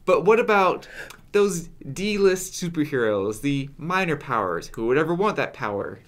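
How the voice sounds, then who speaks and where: A young man co-hosting a casual podcast, trading quick, jokey banter. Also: fairly high pitch, sing-song, a bit bright. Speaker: podcast co-host.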